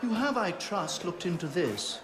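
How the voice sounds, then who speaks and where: A man's voice: high voice, big pitch swings, measured pace, crowd noise, noisy background. An actor playing a sly, theatrical thief king who drawls and mocks, in a game cutscene. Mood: sardonic. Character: sly, theatrical middle-aged man, king of thieves. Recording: music underneath.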